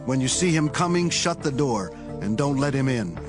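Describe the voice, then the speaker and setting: An actor playing an authoritative, measured prophet in a dramatized Bible reading. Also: male voice, medium pitch, expressive, close to an everyday voice. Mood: urgent. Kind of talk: dramatized Bible audio acting. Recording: music behind the voice.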